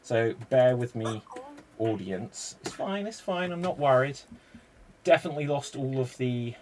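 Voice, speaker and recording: A man hosting a live-streamed improv show, explaining the games in a brisk, chatty way. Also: medium pitch, lively intonation, darkish tone, a little hiss or hum.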